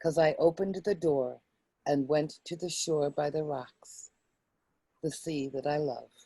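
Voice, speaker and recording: Slow, mellow-voiced woman, reflective. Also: low voice, husky, dry recording. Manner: hesitant, reflective, halting. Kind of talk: poem reading and casual personal recollection.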